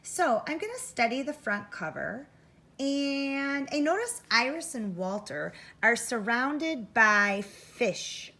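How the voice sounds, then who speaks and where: A woman's voice: a little high, pushed and bright. A woman teaching first grade, giving an interactive read-aloud for children, thinking aloud expressively and addressing young listeners directly.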